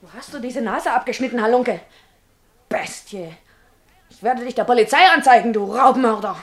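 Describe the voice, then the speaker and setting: Woman playing a shrill high-pitched scolding wife. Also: normal speed, lightly acted. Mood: outraged. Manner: scolding, indignant, spitting insults. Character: domineering, hefty shrewish wife, scornful and scolding. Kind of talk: German radio play acting.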